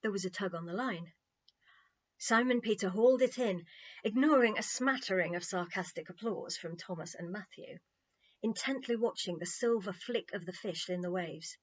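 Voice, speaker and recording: A woman tells a children's story in a playful, wry and conversational manner. Her medium-pitched voice is expressive, and the recording sounds a little dull. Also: normal speed. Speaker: audiobook narrator, storyteller.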